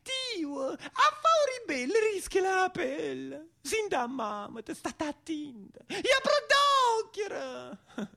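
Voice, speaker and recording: Man doing a wailing old-world mother, high-pitched, crying, drawn-out pleading, southern Italian accent, theatre monologue. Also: huge pitch swings, vocal fry, sharp tone, full character voice. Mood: tearful, fearful. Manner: slow, keening, drawn-out pleading.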